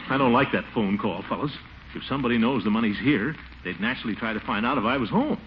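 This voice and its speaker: Man acting a pompous, jittery official, swooping pitch. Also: expressive, fast, close to an everyday voice. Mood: anxious. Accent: American. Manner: pompous, anxious, blustering. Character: pompous, jittery middle-aged man, a nervous town commissioner. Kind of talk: 1940s radio comedy acting. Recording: scratchy, muffled 1940s radio recording.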